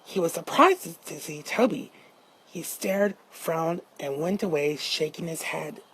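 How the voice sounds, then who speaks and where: A woman's voice: low-pitched, unhurried, a little hiss or hum, poor audio. A woman narrating a children's storybook as an amateur reader, now and then stumbling over her words.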